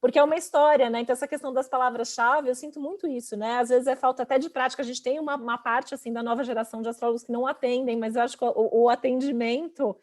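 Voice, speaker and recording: A Brazilian woman with a clearly high-pitched voice speaks as a panelist. Her delivery is informal and conversational, with frequent little tags seeking agreement. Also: steady tone, very fast, few pauses, a little mellow. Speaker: astrologer and teacher.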